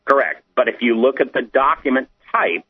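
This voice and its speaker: Male guest on a narrow, slightly husky phone line, conversational explainer working through technical points step by step. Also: medium pitch, animated, normal speed. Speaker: guest explaining digital documents. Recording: muffled, tinny phone line.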